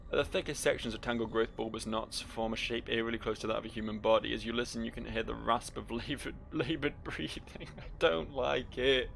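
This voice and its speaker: Wry, creaky-voiced male gamer commentating. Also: mid-range voice, fairly even intonation, fairly quick, few pauses, a bit bright. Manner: wry, sweary, reads game text aloud. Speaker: gaming video host. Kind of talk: casual game playthrough commentary. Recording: faint noise, game ambience.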